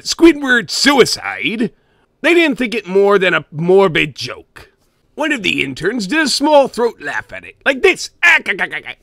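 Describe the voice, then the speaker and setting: A man voicing a gruff, greedy old cartoon sea-crab boss, gravelly and theatrical, narrating a comic scary story in character. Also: sits high, bright, forceful tone, no echo, studio quality, exaggerated voice. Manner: theatrical, with grumbling asides and barking chuckles.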